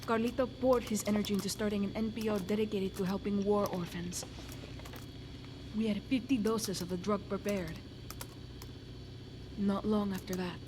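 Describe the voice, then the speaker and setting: An actress playing a grave, guarded medical researcher in a video game cutscene, explaining in a measured, clinical way. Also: female voice, medium pitch, noisy background, close to an everyday voice.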